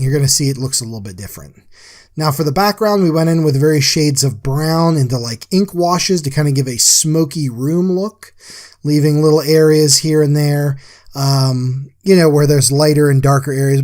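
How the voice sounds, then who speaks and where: Male artist-host, a little high in pitch, informal and chatty, casual art recap narration. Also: a little mellow. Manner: chatty, informal, addresses viewers as guys. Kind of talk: casual drawing recap voice-over.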